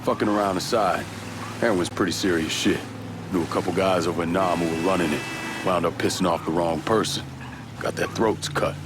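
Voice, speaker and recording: An actor playing a laconic, wary young American war veteran in a video game, speaking in dry, casual slang. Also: male voice, low-pitched, a little fast, lightly acted. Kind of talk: acted game dialogue, casual banter. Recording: car engine noise behind. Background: moving car ambience.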